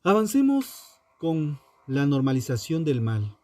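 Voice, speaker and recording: Slow, dark-toned man narrating true crime. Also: fairly high pitch, wildly swooping pitch, no echo. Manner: solemn, dramatic, short measured phrases with pauses. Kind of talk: scripted documentary narration.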